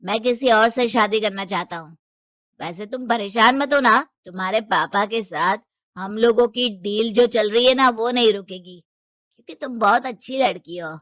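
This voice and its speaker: A woman's voice: a little high, normal speed, fairly bright tone, no room sound, close to an everyday voice. A woman voicing a breezy, indifferent rich young husband in a cartoon moral story, casual and offhand.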